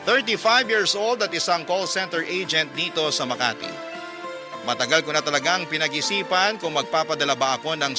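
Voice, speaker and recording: A man hosting a radio show, reading listeners' letters aloud as sentimental stories in a measured, earnest way. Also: a little high. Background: music bed under the voice.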